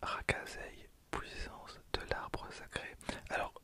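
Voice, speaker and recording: Young man in a full ASMR whisper. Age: 20s. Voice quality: breathy, voiceless whisper. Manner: hushed, often repeating phrases slowly. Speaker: ASMR video creator and host. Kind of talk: ASMR whispering, card unboxing commentary. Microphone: handheld stereo recorder used close up.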